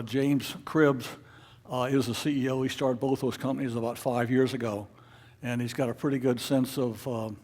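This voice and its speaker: A man leading a club meeting, giving informal announcements in a halting, unscripted way. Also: medium pitch, a little mellow. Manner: halting, hesitant, unscripted delivery. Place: club meeting room with an audience.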